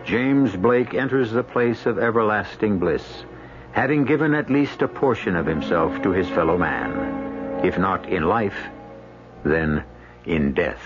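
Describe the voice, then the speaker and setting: A man's voice: on the low side, expressive, measured pace. A man hosting and narrating a radio drama in a solemn, literary, theatrical scripted style. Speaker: host and narrator. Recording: dull old radio broadcast, music behind.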